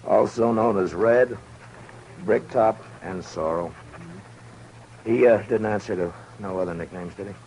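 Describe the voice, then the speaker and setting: A low-voiced American lawman of the Old West, grave and weary. He talks in terse, dry, sardonic sentences. It sounds like a Western radio drama on a noisy old recording. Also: unhurried, pauses often, darkish tone. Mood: businesslike. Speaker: actor in the lead role.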